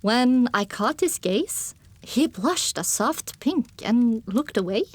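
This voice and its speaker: A woman reading fiction as an audiobook narrator, in an expressive first-person storytelling style. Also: on the high side, slow, dry recording.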